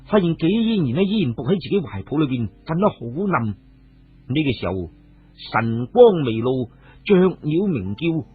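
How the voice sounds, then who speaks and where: A man's voice: on the high side, slightly rough. A man with a Cantonese accent narrating a dramatised story as a radio storyteller. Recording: muffled phone-like radio audio, music behind.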